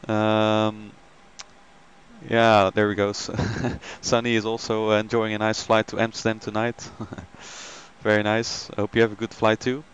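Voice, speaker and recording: A man's voice: fairly low pitch, monotone, a little slow, dull audio, slight background noise. A man hosting a flight simulator live stream, chatting casually with many ums and greeting viewers by name.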